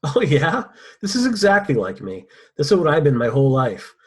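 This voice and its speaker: A man playing a husky, sardonic mercenary villain. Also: fairly high pitch, wildly swooping pitch, normal speed, full character voice. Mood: bitter defiance. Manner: sardonic, profane. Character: cynical, mercenary male villain, smug and ruthless. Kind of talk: dramatic script table read.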